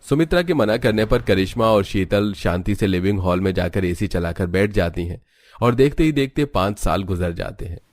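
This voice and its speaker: A man's voice: fairly low pitch, lively intonation, moderate pace, a little mellow, no echo. A man reading scripted storybook narration for a cartoon tale.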